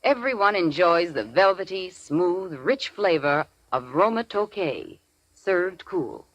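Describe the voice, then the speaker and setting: A woman's voice: fairly low pitch, sing-song, slow, dry recording, dull audio. A woman reading a scripted testimonial as a spokesperson in a 1940s radio commercial.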